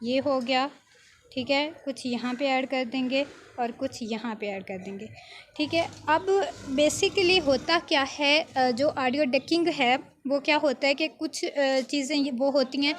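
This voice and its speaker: High-pitched woman teaching. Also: unhurried. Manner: step-by-step, frequent check-in tags. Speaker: video editing instructor. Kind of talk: informal software tutorial narration.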